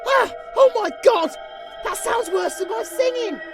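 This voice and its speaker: A woman voicing an excitable, comic small creature in a fantasy audio drama, theatrical and exclamatory. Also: extremely high pitch, measured pace, music in the background. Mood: comic panic.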